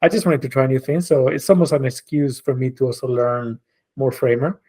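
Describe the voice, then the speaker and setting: A man in his forties who grew up in Spain speaks English as a second language, easygoing and reflective. His thoughts wander with frequent fillers and restarts, and his voice sits at an ordinary middle pitch. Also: fairly even intonation, brisk, mellow, slightly rough. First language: Spanish. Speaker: guest, designer and creative director. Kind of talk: casual podcast conversation.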